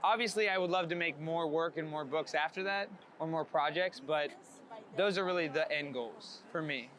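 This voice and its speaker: An energetic, upbeat man chatting casually and candidly as a street photographer out at work. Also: a little high, moderate pace. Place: outdoors on a city street. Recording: clear urban street noise, traffic. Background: city ambience and vehicles.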